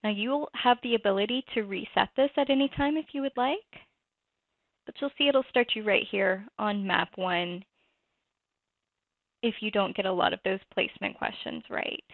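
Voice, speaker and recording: A woman presenting a software walkthrough, explaining each step in a conversational way. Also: mid-range voice, normal speed, dry recording. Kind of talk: screen-recorded software walkthrough. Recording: tinny phone-like dry audio.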